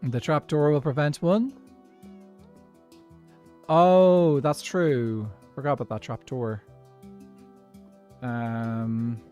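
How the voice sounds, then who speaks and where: A man with a posh Dublin Irish accent chats easily while he plays a building game, thinking out loud and breaking into laughs. His voice sits at a middling pitch. Soft music runs faintly underneath. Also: extremely slow, pauses often, dull audio. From Dublin, Ireland. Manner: chatty, thinks aloud, laughs often. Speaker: streamer playing a block-building game. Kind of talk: casual gameplay chat.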